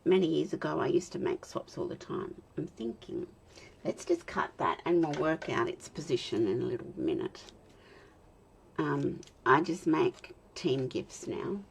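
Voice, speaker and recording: An Australian woman in her fifties, chatting and talking to herself while she demonstrates card making, with a slightly croaky voice. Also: sits low, sing-song, a little slow, a little hiss or hum. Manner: rambling, self-talk with soft laughs. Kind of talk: chatty crafting demo.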